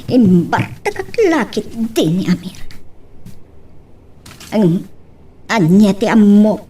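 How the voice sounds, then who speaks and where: Slow, husky, suspicious woman played by an actress. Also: mid-range voice, lively intonation, warm tone. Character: suspicious woman mulling over a man's schemes. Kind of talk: Ilocano radio-style drama acting.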